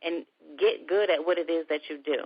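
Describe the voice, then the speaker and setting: A woman with a soft voice, chatting as a guest in a casual conversation. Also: low-pitched, brisk, slightly rough. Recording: dull lo-fi recording.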